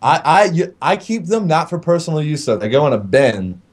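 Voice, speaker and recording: A man's voice: a little high, sing-song, brisk. An American man, a band member, bantering casually in an interview. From United States.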